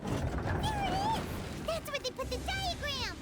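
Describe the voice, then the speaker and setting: A woman voicing a tiny, cheeky fairy companion in a video game, squeaky, childlike and perky. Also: animated, unhurried. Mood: excited, urgent. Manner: speaks of self in third person, perky. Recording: loud game music behind the voice.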